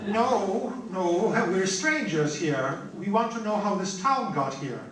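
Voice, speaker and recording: Guy playing a wry scientist in a sci-fi radio play, high animated voice, musing, very echoey. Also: slow pace. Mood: earnest. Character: curious, unruffled, wry male scientist on a space expedition. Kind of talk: old-time sci-fi radio drama acting. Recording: very roomy, distant, faintly noisy.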